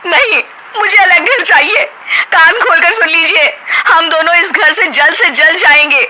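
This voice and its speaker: A woman doing a shrill, bossy young sister-in-law. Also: lively intonation, normal speed, pushed and bright, slight background noise, exaggerated voice. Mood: demanding.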